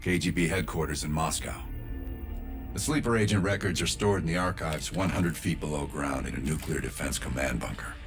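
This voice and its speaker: A man's voice: a bass voice, fairly quick, music in the background. A man acting a cool, commanding covert operations leader in a cinematic spy game, controlled and authoritative. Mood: businesslike.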